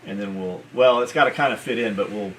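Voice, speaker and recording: A man hosting a military gear video chats off the cuff, blunt and profane, with halting explanations. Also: fairly low pitch, low-quality recording. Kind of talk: casual unscripted chat. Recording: clear background noise.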